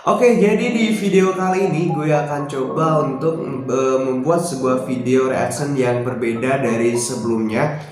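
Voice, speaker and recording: A young man from Indonesia chats to his phone camera, stopping often to hunt for words. The room rings with heavy echo. Clear background music plays underneath. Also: mid-range voice. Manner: hesitant, many filler "eh" pauses. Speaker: YouTube reaction vlogger. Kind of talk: casual vlog talk to camera. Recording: very echoey room, music behind.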